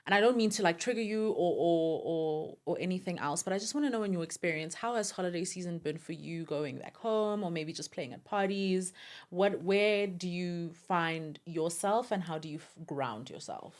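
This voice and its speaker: A woman's voice: fairly low pitch, normal speed, darkish tone. A woman with a South African accent hosting a casual interview, earnest and supportive as she talks. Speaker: podcast host.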